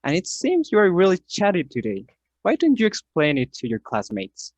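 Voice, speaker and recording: A man's voice: sits high, wildly swooping pitch, moderate pace, dry recording, exaggerated voice. A man playing a wry, knowing narrator in a radio play. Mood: teasing. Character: wry male narrator who breaks into the scene.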